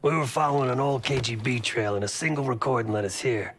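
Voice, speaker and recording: An actor playing a gruff veteran covert operative in a video game, an American man with a gravelly, husky voice and a blunt, wry, salty manner. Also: medium pitch, fast, a bit bright, natural voice. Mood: serious, matter-of-fact. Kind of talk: video game dialogue acting.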